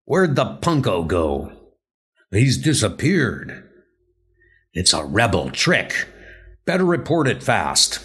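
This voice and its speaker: A man's voice: lowish voice, sing-song, slow pace, long pauses, a bit bright, husky, full character voice. A man voicing a bullying, thuggish police stormtrooper who barks out menacing orders. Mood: alarmed. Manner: barking, menacing.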